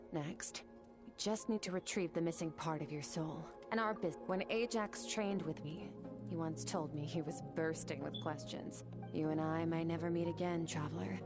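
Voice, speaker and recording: A woman's voice: lowish voice, natural voice. A woman voicing an aloof female swordmaster from another world in a game cutscene, talking in a clipped, matter-of-fact way. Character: aloof female swordmaster from beyond the stars, cool. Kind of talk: video game cutscene dialogue. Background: game music.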